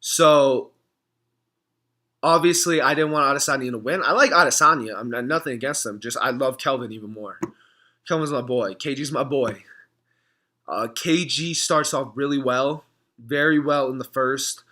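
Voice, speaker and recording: Hoarse young guy vlogging, bright edgy voice, keeps clearing his throat, lots of ums. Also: mid-range voice, normal speed. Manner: rambling, frequent throat clearing and filler words. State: hoarse from screaming. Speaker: MMA fan vlogger. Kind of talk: casual reaction vlog.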